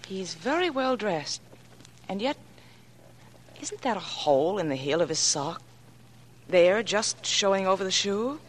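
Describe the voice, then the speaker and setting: An actress playing a resolute, quick-thinking young woman in a 1940s radio thriller, urgent and decisive, on an old recording. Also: medium pitch, animated. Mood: urgent, determined.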